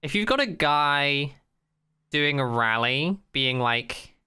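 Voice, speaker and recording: Podcast co-host, mid-pitched and clear, casual, emphatic, slangy opinions with some swearing. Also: expressive, slow pace, sharp tone.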